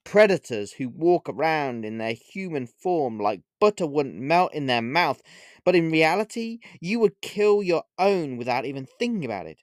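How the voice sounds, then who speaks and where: Audiobook witch, male reader: scornful and playful, lifted pitch, very animated, stretching words to taunt. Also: smooth, dry recording, studio quality. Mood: accusing, disgusted. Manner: mocking, drawn-out emphatic phrasing. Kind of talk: audiobook character voice.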